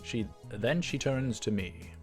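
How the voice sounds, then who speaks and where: A man's voice: medium pitch, slow pace, a little mellow. A man hosting a let's play, reading a visual novel aloud and adding casual commentary. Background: faint music.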